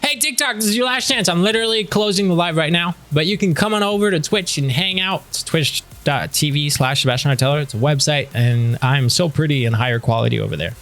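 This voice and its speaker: A man's voice: fairly high pitch, fast talker, few pauses, a bit bright. A man chatting casually with his live-stream viewers before starting a game, reading their messages aloud and answering them.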